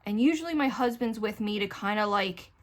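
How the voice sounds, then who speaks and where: A woman's voice: medium pitch, animated, a little fast. Woman venting in a rambling vlog. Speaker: vlogger. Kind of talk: casual chat to camera.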